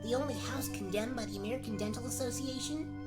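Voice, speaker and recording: Woman as a deadpan, wisecracking fairy-tale kid. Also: on the high side, quick pace, loud music behind the voice, natural voice. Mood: unfazed. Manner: deadpan, chatty, sarcastic. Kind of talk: comedic gag-dub radio play acting.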